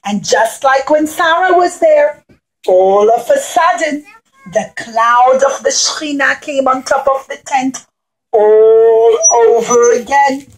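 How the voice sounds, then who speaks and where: High-pitched woman telling a children's story. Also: slow pace, poor audio. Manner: simple, repetitive phrasing addressed to young children. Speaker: puppet show storyteller for children. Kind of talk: animated children's storytelling with character voices.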